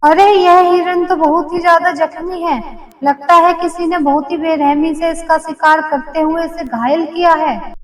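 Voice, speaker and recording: A woman's voice: very high voice, steady tone, unhurried. A woman voicing young female characters in a cartoon fairy tale, in a theatrical, exclamatory way. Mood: concerned. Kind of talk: Hindi cartoon story voice acting. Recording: echoey, distant-sounding voice track.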